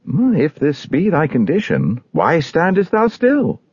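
Male reader as storybook evangelist, solemn, steady, commanding, deliberate, raised pitch, husky. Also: no room sound, dull audio, full character voice. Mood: solemn questioning.

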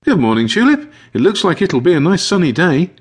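Man doing a high, lilting, caring young woman. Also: big pitch swings, fairly quick, husky, heavily acted. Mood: cheerful. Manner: friendly, concerned. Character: cheery, caring young woman, kennel caretaker. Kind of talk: audiobook character voice.

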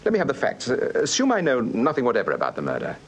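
An actor playing a suave, inquisitive gentleman detective in a radio crime drama, urbane and probing, with a British accent. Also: medium pitch, wildly swooping pitch, fast, slight background noise. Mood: curious, probing. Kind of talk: full-cast radio crime drama acting.

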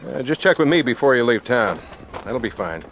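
Small-town lawman, sharp voice, lively pitch, folksy, cautious, hesitant, western audio drama, faint background noise. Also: fairly quick. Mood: wary, official.

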